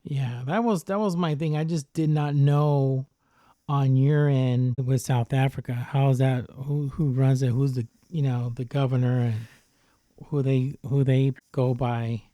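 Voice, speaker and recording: A man's voice: mid-range voice, steady tone, measured pace, dark tone, no echo. A man hosting a podcast, chatting casually and easygoingly with many fillers. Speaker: podcast host. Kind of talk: casual chat.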